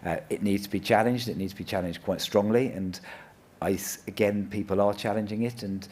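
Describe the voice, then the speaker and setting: A man's voice: on the low side, fairly even intonation, a little fast, darkish tone. A man giving an earnest, unscripted panel answer at a conference, often repeating and restarting his phrases. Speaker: panel speaker. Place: live conference event.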